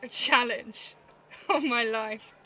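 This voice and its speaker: A woman's voice: on the high side, slow pace, a little hiss or hum, low-quality recording. A woman playing a witty, bawdy, teasing young Mercutio in a theatrical Shakespeare audio drama, recorded on a phone at home. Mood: mocking. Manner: theatrical, teasing, swooping between loud flourishes and mutters.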